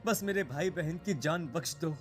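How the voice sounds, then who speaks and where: Man as a young protective cartoon brother, high voice, halting melodramatic pleas, guilt-ridden, faint music behind. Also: natural voice. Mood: pleading, desperate. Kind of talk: Hindi horror cartoon voice acting.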